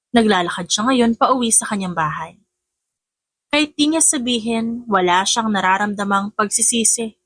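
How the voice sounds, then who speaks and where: High-voiced Filipino woman narrating romance. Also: a bit bright, no room sound. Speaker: audiobook narrator. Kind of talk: scripted romance story narration.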